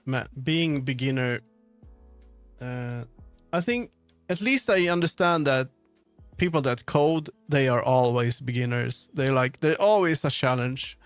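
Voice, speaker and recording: A man's voice: mid-range voice, expressive, unhurried, smooth, dry recording, soft background music. A man streamer chatting casually, rambling and muttering to himself as he jumps between thoughts. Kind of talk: casual livestream chat.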